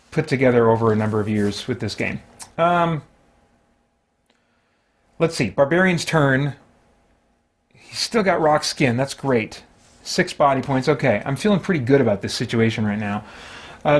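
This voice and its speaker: Man casually narrating his solo tabletop game. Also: medium pitch. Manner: mild exclamations, chatty self-talk. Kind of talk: casual play-by-play commentary, thinking aloud.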